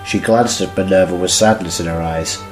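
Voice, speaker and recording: A man's voice: sits low, steady tone, moderate pace, echoey room, rough recording. A man reading scripted story narration for an audio drama. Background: music.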